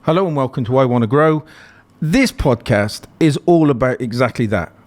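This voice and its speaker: A man's voice: medium pitch, animated, moderate pace, darkish tone. A man hosting a podcast interview, upbeat and friendly, with playfully teasing questions. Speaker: podcast host.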